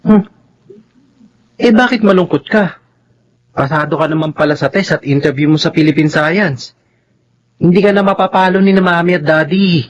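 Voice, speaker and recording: A man's voice: fairly high pitch, moderate pace, long pauses, dull audio, lightly acted. A man playing a bossy, dismissive young older brother in a Filipino radio drama, nagging and lecturing with a Filipino accent.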